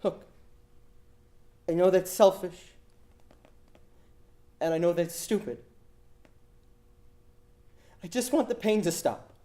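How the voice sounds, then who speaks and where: A man voicing a scared, sad young woman student who speaks in halting, hesitant bits. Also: high voice, dark tone, pitched above the performer's own voice. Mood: despairing.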